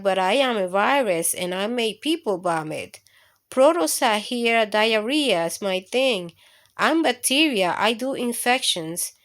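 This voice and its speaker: A woman's voice: a little high, a bit bright, full character voice. A woman voicing boastful, scheming little germs from a picture book in a theatrical, bragging manner.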